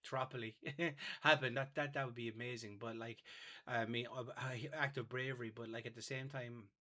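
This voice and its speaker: Bright-voiced Irish man hosting a chat. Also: mid-range voice, fairly even intonation, normal speed. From Ireland. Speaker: podcast host. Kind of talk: casual interview chat.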